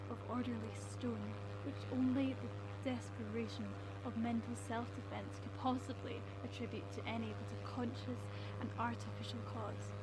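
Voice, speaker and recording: Actress as haunted woman professor, fairly high voice, grave literary narration, radio horror drama, humming noisy background. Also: close to an everyday voice. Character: haunted woman professor recounting a doomed polar expedition. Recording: clear background hum and noise.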